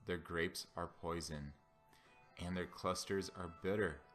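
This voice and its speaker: A man's voice: deep voice, fairly even intonation, a little slow, fairly bright tone, faint music behind. A man gives a dramatic, solemn and declamatory reading of scripture. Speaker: scripture reader.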